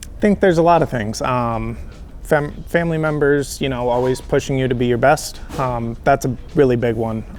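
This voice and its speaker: A man giving casual, friendly answers in an interview, relaxed and chatty with frequent ums. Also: medium pitch, moderate pace, a little hiss or hum. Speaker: healthcare recruiter, interview guest.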